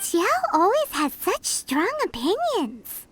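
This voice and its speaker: Squeaky, very high tiny fairy sidekick. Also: female voice, huge pitch swings, takes it slowly, a little hiss or hum, full character voice. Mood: excitable. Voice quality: squeaky, childlike. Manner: speaks of herself in third person, exclaiming. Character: tiny childlike floating fairy companion, excitable and chatty. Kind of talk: English video game voice acting. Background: game music.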